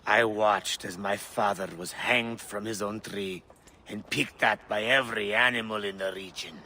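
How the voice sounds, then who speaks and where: An actor playing a bitter rebel farmer's son bent on revenge in a video game, telling things grimly. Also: male voice, fairly low pitch, steady tone, moderate pace, bright, forceful tone. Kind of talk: video game dramatic acting.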